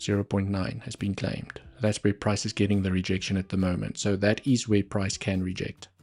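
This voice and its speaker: A man's voice: fairly low pitch, little pitch movement, moderate pace, a little mellow, faint music behind. A man with a South African accent and Afrikaans as his first language, hosting a live trading stream and thinking aloud over the charts with muttered asides. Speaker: livestream trading host. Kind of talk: live market analysis commentary.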